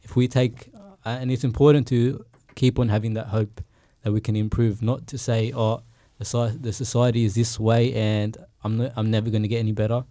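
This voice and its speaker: A young man speaks on a podcast panel, earnest and reflective. His voice is warm and dark, and his delivery stays flat and steady. The sound is dry and close. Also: mid-range voice, a little fast, hardly any pauses, no echo. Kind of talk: casual panel discussion.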